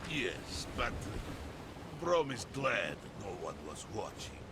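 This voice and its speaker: An actor playing a good-natured big warrior in a video game, speaking in simple, foreign-sounding phrasing. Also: male voice, on the low side, sing-song, slow pace. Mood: good-natured, sheepish. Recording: noisy ambient background.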